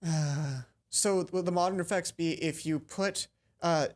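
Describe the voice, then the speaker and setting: A woman's voice: on the low side, measured pace, darkish tone. A woman hosting a let's play, reading a visual novel aloud and acting out dialogue, with casual asides.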